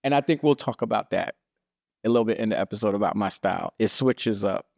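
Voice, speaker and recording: Gravelly-voiced New man, casual. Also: fairly low pitch, fast, a little mellow, dry recording, clean studio sound. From Brooklyn, New York. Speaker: podcast co-host. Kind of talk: casual chat.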